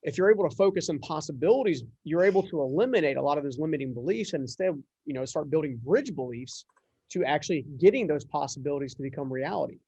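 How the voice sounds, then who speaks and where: A man's voice: on the high side, animated, fast, warm tone, slightly rough, no room sound, lo-fi sound. A man hosting a conversational podcast interview, talking in an earnest, wordy and reflective way. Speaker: interview host.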